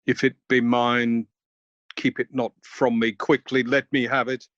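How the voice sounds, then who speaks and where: A man acts out a proud nobleman broken by grief. His delivery is slow, halting and anguished, in verse for an amateur radio play. The sound is dry, with little room in it. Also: medium pitch, little pitch movement, fairly bright tone, no echo, highs cut off, natural voice. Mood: dreading. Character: grief-stricken Scottish nobleman, proud warrior father. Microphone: recorded over video call.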